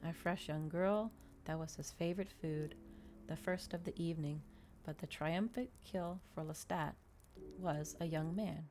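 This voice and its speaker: A woman reading a novel aloud in a soft, measured ASMR style, close to the microphone. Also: sits low, a little hiss or hum.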